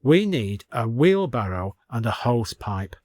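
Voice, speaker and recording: A man voicing an earnest, practical village woman with a measured, sensible manner in an audiobook. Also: medium pitch, big pitch swings, darkish tone, no echo. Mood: brisk, practical. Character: earnest, organised, practical village woman, cautious problem solver.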